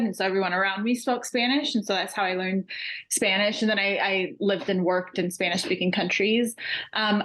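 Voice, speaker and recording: Born in Iran, raised in the US, speaks as a frank, animated interview guest with loose, filler-heavy talk. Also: female voice, mid-range voice, low-quality recording. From Iran, raised in the USA. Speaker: podcast guest, business coach. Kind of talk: casual interview.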